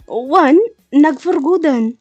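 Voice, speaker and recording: A woman's voice: high voice, slow pace, darkish tone, dull audio, faint music behind. A woman playing a practical married woman in an Ilocano audio drama, talking in a plain, matter-of-fact way. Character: practical married woman, dutiful client and caring wife. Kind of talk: Ilocano radio drama acting.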